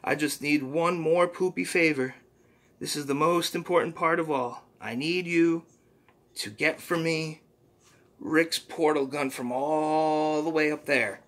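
A man putting on a cheerful, folksy little poop creature in a top hat, chirping catchphrases in a raised, bright voice. He stays earnest and a bit silly, like a cartoon sidekick from a comic read aloud. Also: fairly high pitch, fairly even intonation, measured pace, pitched above the performer's own voice. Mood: chirpy. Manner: chirpy, folksy, says ooh-wee.

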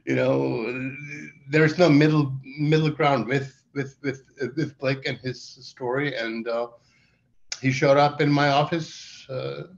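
A middle-aged man, telling stories in a relaxed, halting way full of fillers on a video call. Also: medium pitch, creaky. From Iceland. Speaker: documentary director. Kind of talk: casual interview answers. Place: indoor room with plain wall, video call. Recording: dull lo-fi video call audio.

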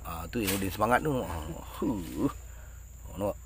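A man's voice: sits low, animated, normal speed, noisy background. A middle-aged man with a Malaysian Malay accent giving casual, laughing commentary while fishing from a small boat on a river. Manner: chatty, laughing often, teasing his companion. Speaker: fishing vlogger.

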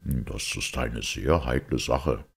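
A man voicing a small, kindly priest detective in an audiobook, speaking gently, calmly and earnestly. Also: a bass voice, slow pace, breathy edge, exaggerated voice.

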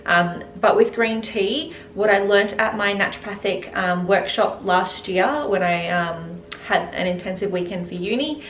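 A woman's voice: mid-range voice, unhurried, few pauses, a bit bright. A woman chatting casually to camera as a health vlogger, recorded indoors at home. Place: indoors in a home kitchen and living room. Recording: roomy echo with clear background noise.

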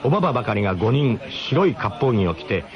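An actor playing a brash, mock-grandiose expedition leader who narrates a comic radio drama in pompous formal phrasing. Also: male voice, medium pitch, very animated, a little fast, dull audio, crowd noise, close to an everyday voice. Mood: mock-serious, lecturing.

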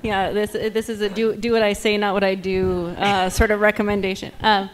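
A woman's voice: mid-range voice, little pitch movement, moderate pace, poor audio. A woman, a doctor answering audience questions informally on a panel with a handheld microphone. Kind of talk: informal expert Q&A. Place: auditorium stage. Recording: faint background noise.

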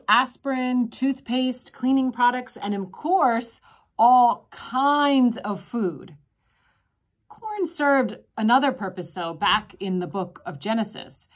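A woman in her thirties, a pastor, telling a children's sermon in a gentle, simple storytelling way, recorded on a phone in a small room. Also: a little high. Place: small indoor room with a block wall. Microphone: phone camera at arm's length.

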